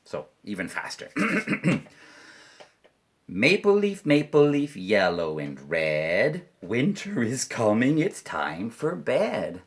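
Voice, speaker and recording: A Canadian man teaching an online English lesson to children, playful, leading chants slowly and clearly. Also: medium pitch, wildly swooping pitch.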